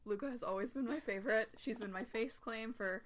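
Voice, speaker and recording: A woman's voice: fairly high pitch, brisk. An eighteen-year-old woman from Canada chatting casually with friends, hesitant and full of ums. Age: young adult. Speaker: cover singer. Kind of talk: casual group chat.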